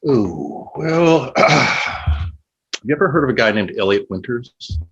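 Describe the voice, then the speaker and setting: A man playing a probing, cynical former literary agent in a naturalistic two-man play, pointed and halting, with a husky voice. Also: mid-range voice, expressive, a bit bright, muffled recording, heavily acted. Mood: defensive, earnest. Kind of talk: naturalistic stage dialogue.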